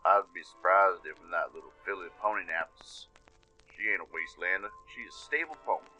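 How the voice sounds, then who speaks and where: Man voicing a big gruff dog beast. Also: deep voice, moderate pace, sharp tone, soft background music, heavily acted. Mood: dismissive.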